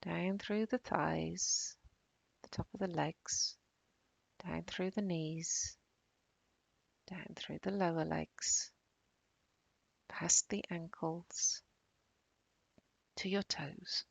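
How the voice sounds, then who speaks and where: A woman guiding a body-scan meditation in a calm, soothing, unhurried way, with long pauses and soft, breathy phrase endings. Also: on the low side, no room sound. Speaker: meditation guide.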